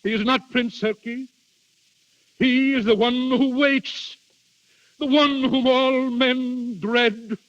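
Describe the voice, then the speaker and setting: High-voiced, earnest duke, old radio. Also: male voice, steady tone, takes it slowly, a bit bright, exaggerated voice. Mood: dread, declaiming. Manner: formal, earnest, dramatic. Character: desperate noble duke, pleading father, formal. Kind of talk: 1930s radio drama acting. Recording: muffled old 1930s radio recording.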